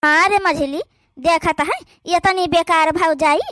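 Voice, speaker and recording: A woman voices a sharp-tongued, gossipy sister-in-law from an Awadhi cartoon, high and exclaiming. Her voice is bright and shrill, recorded bone dry at close range. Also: unhurried, no echo, full character voice. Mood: gossiping. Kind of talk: cartoon voice acting.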